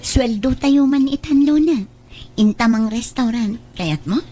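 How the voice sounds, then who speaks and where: A woman's voice: a little high, a little slow, mellow, soft background music, natural voice. A woman with an Ilocano Filipino accent playing a curious, mildly teasing young friend in an audio drama, exclamatory and full of questions.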